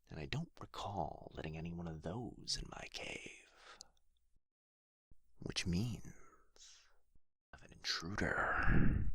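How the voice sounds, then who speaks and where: A man playing an irritable, proud dragon guarding his hoard in an ASMR fantasy roleplay, with a theatrical, haughty, breathy delivery. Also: lowish voice, bright, forceful tone, no room sound, clean studio sound, heavily acted. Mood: suspicious.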